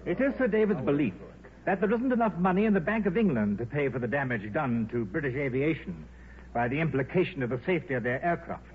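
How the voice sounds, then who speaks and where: Courteous, formal male executive played by an actor, fairly high voice with animated delivery, vintage radio drama on a thin signal. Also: lightly acted. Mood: formal, concerned. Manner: formal, measured. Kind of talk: 1950s radio drama acting. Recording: tinny, noisy 1950s radio broadcast.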